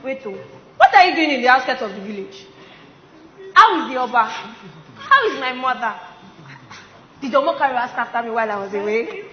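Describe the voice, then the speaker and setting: A woman's voice: sits high, very animated, fairly quick, pauses often. A woman playing a young, eager, commanding village princess on stage, with exclamatory, projected delivery. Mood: excited. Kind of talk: theatrical stage acting. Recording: echoey hall, dull audio, noisy crowd.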